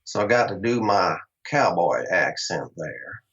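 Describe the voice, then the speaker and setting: Low-voiced middle-aged man, lo-fi. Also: lively intonation, measured pace, fairly bright tone. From Long Island, New York. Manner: chatty, laughs often, quotes himself. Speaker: interview guest, actor. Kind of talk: casual storytelling interview. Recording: dull, lo-fi sound.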